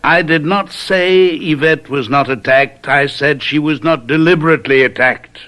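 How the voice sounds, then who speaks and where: A man playing a brilliant, playfully condescending detective in an old-time radio mystery, deliberate and theatrical as he explains. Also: fairly high pitch, sharp tone. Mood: confident explaining.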